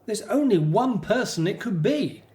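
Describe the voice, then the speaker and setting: A man's voice: high voice, expressive, normal speed, darkish tone. A man playing a hopeful Hebrew slave and family man in a scripted radio drama, telling things earnestly. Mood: eager, serious.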